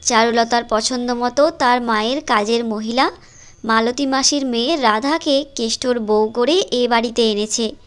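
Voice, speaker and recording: High-pitched woman narrating a story. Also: measured pace, clean tone. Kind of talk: scripted storytelling narration for a moral cartoon. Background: music.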